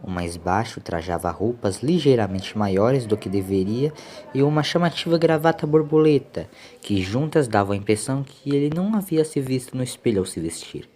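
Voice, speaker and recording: A man's voice: medium pitch, expressive, moderate pace, mellow. Brazilian male narrator over noisy ambience. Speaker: audiobook narrator. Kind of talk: scripted story narration.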